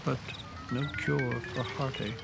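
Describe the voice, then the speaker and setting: An actor playing a dramatic, melancholy pharmacy visitor in a video game, sighing and lamenting. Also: male voice, mid-range voice, steady tone, a little slow, gravelly. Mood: wistful. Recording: loud game music behind.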